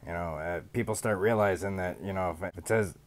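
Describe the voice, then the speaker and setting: A man playing a smug, gravelly rival streamer. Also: low-pitched, brisk. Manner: deadpan mock-confession, sarcastic. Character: smug male rival streamer, self-declared villain. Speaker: podcast guest doing a mocking impression. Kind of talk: sarcastic in-character rant. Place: small home studio room. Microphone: studio mic on boom arm, headphones.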